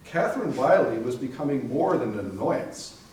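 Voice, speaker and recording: A man's voice: medium pitch, very animated, moderate pace, a little mellow, close to an everyday voice. A man playing a defensive, irritated company man in a readers theater monologue. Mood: irritated, dismissive. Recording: echoey hall, far mic, faint noise.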